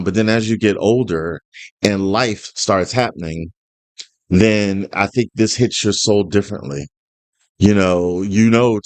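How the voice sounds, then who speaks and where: Low-voiced guy in his 50s, chatty reaction host, dry close sound. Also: no echo. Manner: rambling, earnest, with frequent ums and restarts. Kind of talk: casual reaction chat and storytelling.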